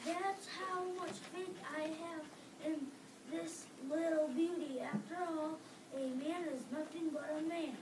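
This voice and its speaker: A woman reading the part of a boastful male city salesman in a script reading, halting word by word. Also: high-pitched, fairly even intonation. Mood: boastful pitch. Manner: slow, halting, word-by-word delivery. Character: slick, boastful male city salesman pitching his machine. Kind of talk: reader's theater script reading. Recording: distant mic, echoey room, clear background noise.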